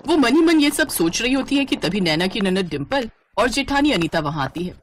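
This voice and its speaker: A woman's voice: a little high, sing-song, fairly quick, slight background noise. A woman narrating a scripted domestic story as a storyteller.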